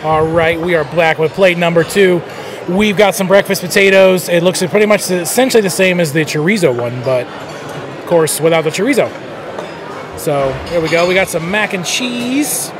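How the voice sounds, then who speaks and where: A man giving a relaxed, chatty food review while he eats in a buffet restaurant. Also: sits high, moderate pace. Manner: relaxed, chatty, thinks aloud between bites. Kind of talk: casual on-camera food review. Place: busy casino buffet restaurant indoors. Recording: loud crowd chatter and clatter around. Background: loud diners and dish clatter.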